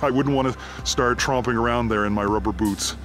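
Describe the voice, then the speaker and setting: A Canadian man speaks into a handheld camera mic while he walks through a forest. His narration is unhurried and thoughtful, broken up by frequent "uh" pauses. Faint music plays quietly under his voice. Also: medium pitch. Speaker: abandoned mine explorer. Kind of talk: walking narration while exploring. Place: outdoors in a forest.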